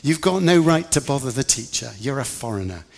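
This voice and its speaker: A man's voice: medium pitch, a little slow, gravelly, faint music behind. A man playing a scornful, dismissive disciple who scolds an outsider in a dramatic story monologue. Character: scornful male disciple gruffly turning an outsider away. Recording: reverberant large hall.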